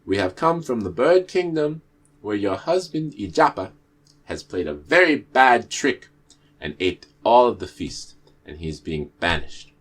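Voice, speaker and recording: A man voicing stern bird guards who deliver a message formally and deliberately. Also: fairly low pitch, sing-song, a bit bright, heavily acted.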